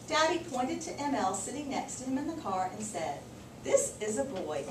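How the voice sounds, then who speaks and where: Woman teacher, animated read-aloud delivery, fairly high pitch, noisy recording. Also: normal speed. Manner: repeats and affirms listeners' answers. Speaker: teacher reading aloud to children. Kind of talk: storybook read-aloud and class discussion. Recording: echoey, noisy room, distant microphone.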